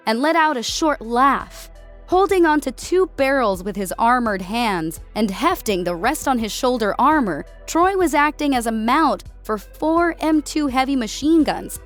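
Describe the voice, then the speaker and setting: A woman's voice: fairly high pitch, lively intonation, moderate pace, faint music behind. A woman reading scripted narration of a military science fiction story as an audiobook narrator.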